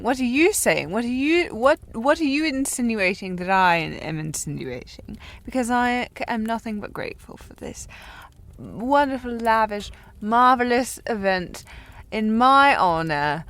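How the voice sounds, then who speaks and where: Slow, tipsy young noblewoman, acted by a woman. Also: a little high, no room sound. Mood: teasing, rambling. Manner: rambling, halting, teasing, tipsy delivery. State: playing slightly drunk. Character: tipsy, haughty, teasing young historical noblewoman at her party. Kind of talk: scripted audio roleplay, period drama.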